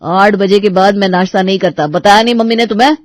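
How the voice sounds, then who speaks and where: A storyteller voicing an angry, controlling young husband with a harsh, accusing manner. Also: male voice, high voice, fast talker, dry recording, clean studio sound, close to an everyday voice. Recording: dull lo-fi recording.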